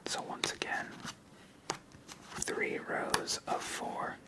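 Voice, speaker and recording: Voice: very slow, poor audio. An ASMR host whispering commentary while playing through a tabletop card game.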